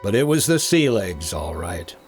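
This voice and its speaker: A man plays a cool, tough radio detective of the 1950s with an expressive, moving voice. He is dry and clipped, telling the case dramatically. Faint music runs behind him. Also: on the low side, moderate pace. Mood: tense narration. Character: cool, wry, tough male investigator and narrator.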